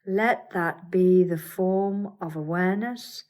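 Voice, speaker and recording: Lower-voiced woman guiding a meditation. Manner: calm, soothing, deliberate instruction with pauses. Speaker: yoga nidra teacher guiding a relaxation practice. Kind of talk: guided meditation.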